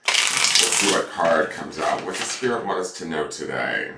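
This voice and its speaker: A man with a stuffed-up voice, chatting casually as a tarot reader and rambling excitedly about the cards. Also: deep voice, moderate pace. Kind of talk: casual chat to camera. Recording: echoey room from far off, loud noise.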